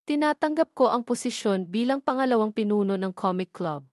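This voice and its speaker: A Filipino woman voicing an earnest, resolute young female student in an audio drama, speaking in clear declarative lines. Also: on the high side, animated, fairly quick, darkish tone, dry recording, studio quality, natural voice. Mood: grateful.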